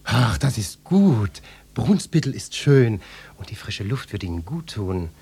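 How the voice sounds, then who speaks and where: An actor playing a pompous, insinuating police detective who questions people in florid, officious language in a satirical radio play. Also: male voice, fairly low pitch, big pitch swings, measured pace, warm tone, breathy edge, heavily acted. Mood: probing. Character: pompous, nosy, lecherous police detective interrogating a housewife.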